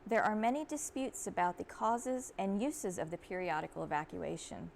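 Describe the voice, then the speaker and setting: A woman reading an old treatise aloud in a formal, deliberate and measured way. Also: medium pitch, vocal fry, slight background noise. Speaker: university archivist, reader.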